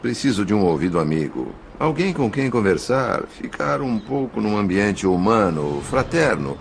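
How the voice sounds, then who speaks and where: A Brazilian man playing a composed spirit narrator in an audio novel, speaking in a formal, articulate, measured way. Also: fairly low pitch, very animated, vocal fry, a little hiss or hum. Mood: polite, pleading. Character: composed male spirit narrator recounting his undercover mission. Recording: music behind the voice. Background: music.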